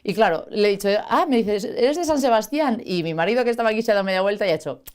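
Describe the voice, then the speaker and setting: A woman's voice: medium pitch, rapid-fire, a little mellow, smooth. A woman from the Basque Country hosting a studio talk show, chatty and full of anecdotes and asides. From Basque Country, Spain.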